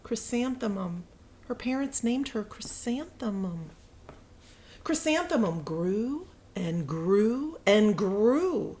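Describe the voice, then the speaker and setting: Slow, expressive woman reading a storybook. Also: mid-range voice. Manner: deliberate, emphatic, acts out characters. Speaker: storyteller reading a picture book aloud. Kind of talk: expressive children's read-aloud narration.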